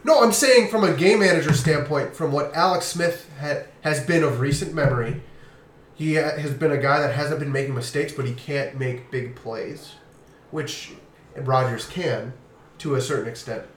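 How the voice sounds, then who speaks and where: Dude debating football takes, kinda high voice, echoey room with a bit of hiss. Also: male voice, moderate pace. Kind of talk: casual sports chat. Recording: echoey room, faint noise.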